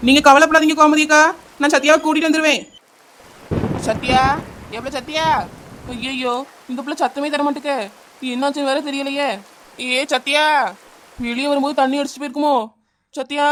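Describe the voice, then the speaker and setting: A woman playing a brave young village aunt who talks quickly and reassuringly in an amateur audio drama. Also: high-pitched, level tone, a bit bright, a little hiss or hum. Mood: determined, then calling out. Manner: reassuring, brisk, fast-talking.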